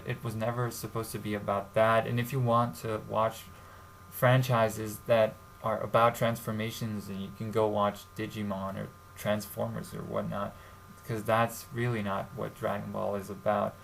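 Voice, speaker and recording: A young man from Serbia hosting a casual commentary vlog, talking in a halting way with many fillers and restarts. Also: fairly low pitch, little pitch movement, vocal fry, a bit bright, poor audio. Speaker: YouTube channel host. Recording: faint background noise.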